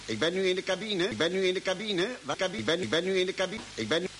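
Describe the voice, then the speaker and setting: Radio serial crewman: high, fast, brisk and dutiful, light hiss behind. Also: animated, fairly bright tone. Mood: alert. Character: brisk, obedient spaceship crewman on intercom. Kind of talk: old radio play acting. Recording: old radio recording, faint hiss.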